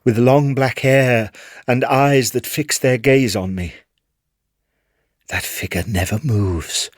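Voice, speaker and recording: A man's voice: on the low side, dry recording, studio quality. A British man playing a cunning, haunted madman in a dramatic gothic story reading, theatrical and savoring his words. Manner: theatrical, savoring words, dropping toward hushed menace. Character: cunning, gleeful, haunted madman confessing his murderous secret. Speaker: narrator.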